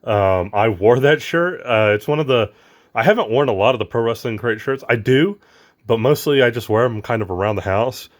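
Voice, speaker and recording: A man with a hoarse, raspy voice from losing it, chatting casually as the host of an unboxing vlog, rambling with lots of fillers. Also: mid-range voice, expressive, normal speed. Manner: rambling, lots of ums and uhs.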